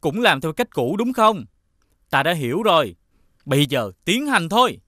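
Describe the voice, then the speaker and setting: A man voicing an earnest young prince in an animated fairy tale, speaking expressively. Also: fairly high pitch, normal speed, dry recording, clean studio sound, full character voice. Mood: grateful, eager.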